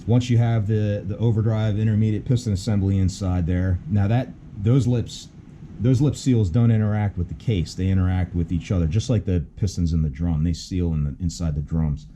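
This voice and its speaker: Low-voiced man explaining over loud hum. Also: steady tone, normal speed. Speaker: auto mechanic instructor. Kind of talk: hands-on technical explanation.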